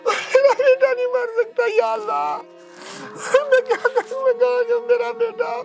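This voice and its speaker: A man's voice: extremely high pitch, flat delivery, normal speed, gravelly, over music. A man playing a poor, trusting old village father, pleading and affectionate in a dramatised moral story. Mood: wailing grief. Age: older. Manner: pleading, repeatedly addresses listener affectionately.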